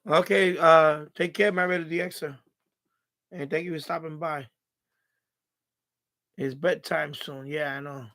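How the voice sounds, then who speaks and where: A male live-stream host with a noticeably high voice that often breaks into creak. He talks informally and without much filter, rambling as he answers his chat. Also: fairly even intonation, measured pace, long pauses, a bit bright. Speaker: livestream host. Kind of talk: casual live-stream chat, reading and answering viewer comments.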